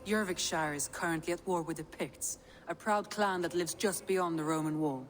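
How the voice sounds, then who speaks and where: A woman's voice: lowish voice, moderate pace, slight background noise, lightly acted. A woman playing a formal, composed Viking-age advisor delivering lines in a dramatic video game.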